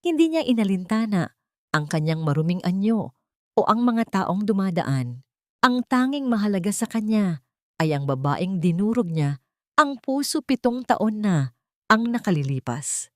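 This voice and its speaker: A woman's voice: mid-range voice, sing-song, measured pace, no echo, studio quality. A woman with a Filipino accent reading a dramatic scripted story as an audiobook narrator.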